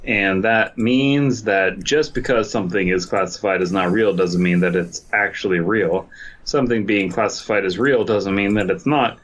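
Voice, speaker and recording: A man's voice: on the low side, normal speed, fairly bright tone. A man co-hosting a podcast, commenting casually and reading a story aloud. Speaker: podcast host. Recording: slightly dull lo-fi mic.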